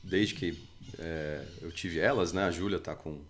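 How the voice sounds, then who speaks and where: A man in his forties from Brazil, chatting on a church podcast in an unhurried, hesitant way with many fillers and restarts. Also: low voice, steady tone, a little hiss or hum. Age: 40s. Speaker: church member guest. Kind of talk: casual podcast conversation.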